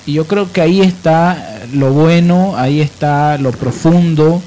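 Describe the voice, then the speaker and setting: Male radio host, Bolivian accent, fairly high voice, emphatic explainer, some background noise. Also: unhurried, dark tone. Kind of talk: radio discussion and biographical narration.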